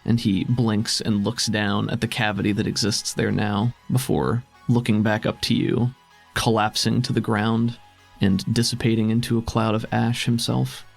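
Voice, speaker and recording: A man's voice: on the low side, flat delivery, normal speed, creaky, soft background music. A man narrating a tabletop game as game master, in descriptive, dramatic storytelling.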